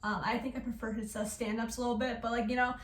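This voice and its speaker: A woman's voice: fairly high pitch, flat delivery, quick pace. A woman giving casual reaction commentary in an informal, hesitant, self-deprecating way. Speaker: reaction video host. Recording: roomy, distant mic, faint noise.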